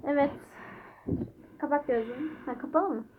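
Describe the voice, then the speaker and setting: A woman's voice: high-pitched, normal speed, narrow, tinny audio. A woman hosting a playful guessing game, chatting casually with viewers and a child in a coaxing, teasing way. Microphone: phone held by the speaker. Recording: noisy home phone recording with clicks.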